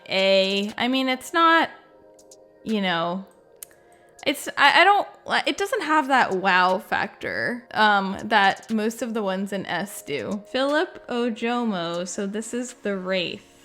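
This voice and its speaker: A woman's voice: mid-range voice, big pitch swings, slow, pushed and bright. Casual woman commentating over music. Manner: informal, thinking aloud. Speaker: video game content creator.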